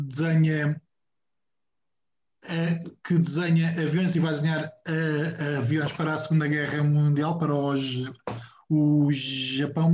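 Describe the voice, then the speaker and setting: A man's voice: on the high side, darkish tone. A man, co-hosting a casual podcast chat and recommending a film, talking with many fillers and restarts. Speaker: podcast co-host. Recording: echoey, muffled room with faint noise.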